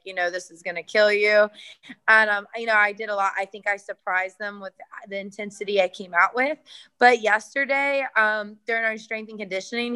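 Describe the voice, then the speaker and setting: A woman's voice: medium pitch, pushed and bright. A young adult woman fighter, answering interview questions earnestly, with many fillers and restarts. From United States.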